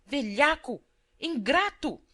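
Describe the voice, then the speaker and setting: A woman's voice: high-pitched, expressive, takes it slowly, fairly bright tone, pitched above the performer's own voice, heavily acted. A woman voicing an indignant, scolding matron who hurls exclaimed insults in an audiobook. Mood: furious, indignant. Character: indignant matron, a pharmacist's wife, furious and scolding. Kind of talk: audiobook character voice.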